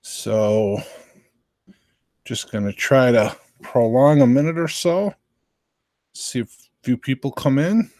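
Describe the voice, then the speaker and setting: An American man casually hosting a livestream in a low-key, halting way. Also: darkish tone, no echo, clean studio sound. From southern Virginia, United States. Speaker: livestream host.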